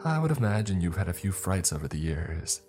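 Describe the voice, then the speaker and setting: A man's voice: low-pitched, sing-song, fairly quick, over music, pitched above the performer's own voice. A man doing a nervous, lost woman. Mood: anxious. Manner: nervous and apologetic. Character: lost, jittery middle-aged woman in a graveyard.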